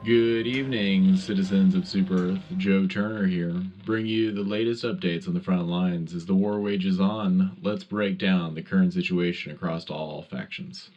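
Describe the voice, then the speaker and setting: A man's voice: low-pitched, steady tone, normal speed, hardly any pauses, a little mellow, dull audio. A man playing a solemn, patriotic wartime radio anchor, reading a scripted news broadcast in a declarative announcer style. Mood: grave, rousing.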